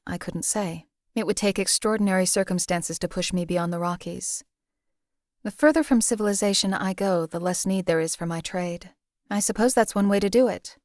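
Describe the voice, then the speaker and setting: An actress voicing a cold, detached female hired assassin for a game, speaking in a measured, formal, deadpan way. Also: mid-range voice, dry recording, studio quality, lightly acted. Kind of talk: game companion voice lines.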